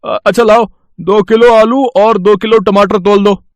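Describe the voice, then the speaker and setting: A man's voice: high-pitched, steady tone, measured pace, dry recording. A man playing a talkative bakery owner in a dramatised moral story, tagging his remarks with little questions. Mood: hearty, inquisitive. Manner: talkative, adds tag questions. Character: brisk, chatty bakery owner, male boss.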